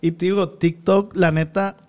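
A man's voice: high-pitched, fairly even intonation, normal speed, a little mellow. Slangy young man hosting a podcast. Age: 20s. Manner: slangy, joking, asks questions. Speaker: podcast host. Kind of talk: casual chat.

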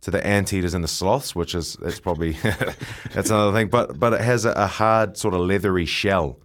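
Young kiwi rugby guy, really deep voice, stumbles and repeats himself a lot. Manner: halting, repeats words often. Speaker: professional rugby player, guest. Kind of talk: casual radio chat.

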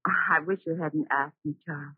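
An actress playing a gentle, principled old flame in an old radio drama, restrained and wistful. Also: female voice, sits low, steady tone, moderate pace, breathy edge, dry recording. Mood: reluctant. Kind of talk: 1940s radio drama acting. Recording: muffled, remastered old radio recording.

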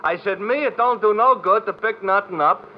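Man acting a garrulous high-voiced cab driver, old radio. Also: moderate pace, natural voice. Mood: chatty, pestering. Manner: garrulous, repetitive, slangy working-class talk. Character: chatty, folksy male cab driver, cheerfully pestering. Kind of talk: golden-age radio drama acting. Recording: muffled, hissy vintage radio recording.

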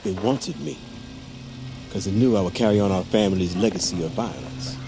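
A man's voice: on the low side, a little fast, vocal fry. An actor playing a brooding, middle-aged American war veteran and father who is unravelling, in an audio drama. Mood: brooding confession. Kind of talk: audio fiction drama acting. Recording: dramatic music under the voice.

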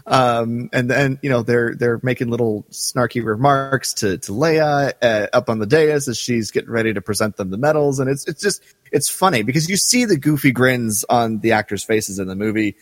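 A man co-hosting a podcast in casual discussion, explaining things in a halting, circling way. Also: mid-range voice, no room sound, in a large hall. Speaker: podcast co-host. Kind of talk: casual discussion of a radio drama.

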